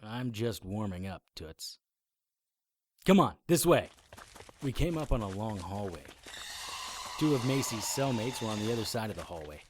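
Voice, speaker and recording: A man's voice: fairly low pitch, lively intonation, moderate pace, long pauses, a little mellow, slightly rough. An actor playing a tough, wisecracking monster hunter, narrating his own story in a dry noir style for an audio drama. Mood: wry. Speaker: lead actor narrating in first person. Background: music bed under the voice.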